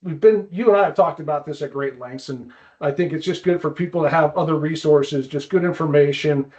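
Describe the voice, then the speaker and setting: A man hosting a podcast in a casual interview style, with a halting delivery that often repeats words. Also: fairly high pitch, darkish tone, dull audio. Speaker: podcast host.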